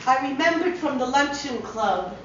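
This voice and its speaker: A woman performs an elderly unmarried lady in a dramatic story reading, flustered, polite and eager to please. She stammers nervously in a high voice that swings about. It is recorded at a distance in a very roomy space. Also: expressive, normal speed. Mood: shy, eager. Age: older. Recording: roomy echo, distant mic, dull hissy sound.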